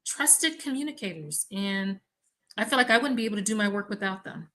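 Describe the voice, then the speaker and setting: A woman from Mississippi, an academic researcher, telling stories in an animated, conversational way during a panel discussion. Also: mid-range voice, fairly quick. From Mississippi, USA. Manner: animated storyteller, acts out exchanges.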